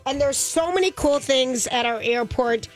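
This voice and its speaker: A woman's voice: high-pitched, soft background music. A woman radio host, chatting eagerly and reading a live ad on a studio microphone. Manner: chatty, enthusiastic, quick asides. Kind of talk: casual radio chat and live ad reads.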